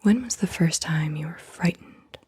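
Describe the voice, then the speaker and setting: A woman ASMR host whispering softly and deliberately in short, breathy phrases, guiding the listener through questions. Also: sits low. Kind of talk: soft-spoken whispered ASMR, guided questions.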